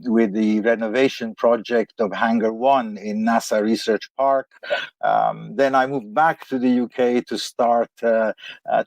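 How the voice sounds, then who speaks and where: A man's voice: medium pitch, vocal fry, a little mellow, no echo. A man from Lebanon, a guest economist, answering interview questions in a reflective, hesitant way. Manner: hesitant and reflective, with many fillers and restarts.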